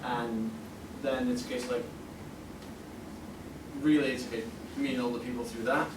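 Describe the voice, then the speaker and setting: A man's voice: mid-range voice, steady tone, normal speed. A young British man rambles through casual, jokey panel talk with loose swearing. He is recorded from a distance in an echoey room with plenty of background noise. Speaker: convention panelist, amateur audio drama voice actor. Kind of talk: casual unscripted panel chat. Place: convention panel room, indoors. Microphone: distant camera microphone. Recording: roomy, noisy, distant convention room recording.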